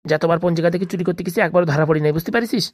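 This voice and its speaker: Woman as bossy grumbling thief, comedy cartoon, lowish voice, rapid, slangy, scolding. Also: darkish tone, dry recording, clean studio sound, lightly acted. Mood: instructing. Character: irritable bossy male thief, scolding, superstitious.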